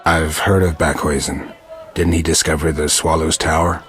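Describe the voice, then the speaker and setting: A man voicing a gruff, stoic monster hunter with dry wit and laconic delivery in a fantasy video game. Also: rumbling low, normal speed, faint music behind. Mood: dry, businesslike. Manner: deadpan, laconic. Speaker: player character of a fantasy game.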